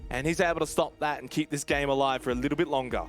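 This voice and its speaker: A man casting a live esports match, calling the action in quick play-by-play that jumps up to shouts in big moments. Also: a little high, fairly even intonation, soft background music.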